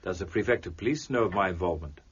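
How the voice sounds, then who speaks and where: An actor playing a cool, precise gentleman detective in a period radio drama, measured, formal and dryly teasing. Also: deep voice, dull audio, slight background noise, natural voice. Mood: dry, composed. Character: cool, precise gentleman amateur detective, wry and self-assured.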